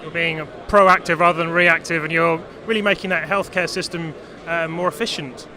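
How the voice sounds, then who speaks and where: High-voiced man interviewing at an expo. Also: fairly even intonation, bright, forceful tone. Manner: brisk and upbeat, short prompts. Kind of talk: live trade show reporting. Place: busy exhibition stand. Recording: crowded trade show floor.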